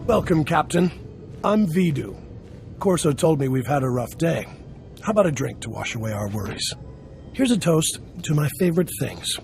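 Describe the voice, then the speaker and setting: Gravelly, wry underworld dealer. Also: male voice, fairly high pitch, very animated, normal speed, darkish tone, faint music behind. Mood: wry, bitter.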